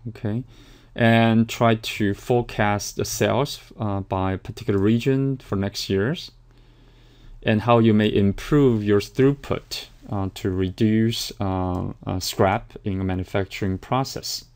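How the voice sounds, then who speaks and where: Hesitant lecturing man, smooth low voice. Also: steady tone, a little slow, a little mellow. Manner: hesitant, many fillers and restarts. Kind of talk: recorded slide lecture.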